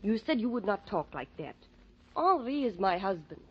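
A woman's voice: mid-range voice, sing-song, normal speed, breathy edge. An actress in a 1940s-style radio drama playing a dutiful married woman, earnest and admonishing, with formal phrasing. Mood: firm, reproving. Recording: muffled old radio recording.

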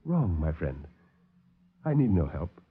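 A man's voice: low-pitched, big pitch swings, breathy edge, pitched below the performer's own voice, exaggerated voice. A man doing a suave, menacing doctor villain. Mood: suave menace. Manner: courteous, unhurried, coldly polite. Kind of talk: 1940s radio acting. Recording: dull old radio broadcast.

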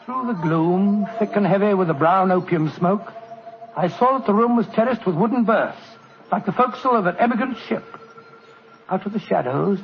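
An actor playing an earnest, proper Victorian gentleman, narrating and speaking in an old radio drama. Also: high-pitched, vocal fry. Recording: dull old radio recording, faint hiss.

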